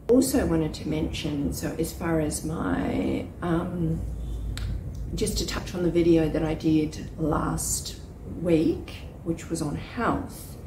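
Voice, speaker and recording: A woman with a low voice, a touch croaky, talks in a loose, rambling check-in full of fillers and restarts. The sound bounces around an echoey space, with clear background noise throughout. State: slightly croaky voice. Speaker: psychic reader and vlogger. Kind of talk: casual check-in vlog. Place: small courtyard with potted palts, outdoors. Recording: echoey with loud ambient noise.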